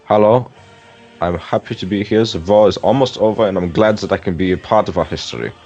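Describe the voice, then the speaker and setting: A man acts out a proud German field marshal with a very low voice in a staged 1940s radio interview. The sound is dull and muffled, and music plays behind him. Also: brisk, darkish tone. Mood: proud, obliging.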